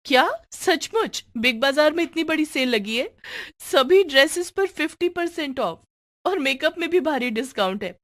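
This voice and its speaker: A put-upon young wife with a sharp tongue acted by a woman narrator speaks in a slightly raised voice. She is pointed and sarcastic, a spirited character from a cartoon household tale. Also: high voice, expressive, moderate pace, no echo, studio quality, pitched above the performer's own voice. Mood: excited. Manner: grumbling, taunting, pointed. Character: overworked, sarcastic young younger daughter-in-law.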